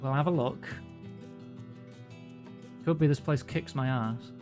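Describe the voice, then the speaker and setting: A man's voice: mid-range voice, slow pace, darkish tone. Chatty man streaming a game. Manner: joking, chatty, thinks aloud. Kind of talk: casual let's-play commentary. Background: game music.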